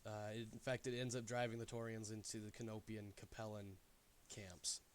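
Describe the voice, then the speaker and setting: A man hosting a game stream in casual chat, sounding stuffy, animated and jokey, often trailing off into asides. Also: fairly low pitch, moderate pace, slight background noise.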